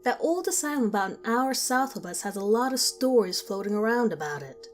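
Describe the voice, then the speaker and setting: A woman voicing a worried, kind middle-aged mother who speaks in a hushed, warning way. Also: fairly high pitch, lively intonation, brisk, soft background music, close to an everyday voice. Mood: ominous warning.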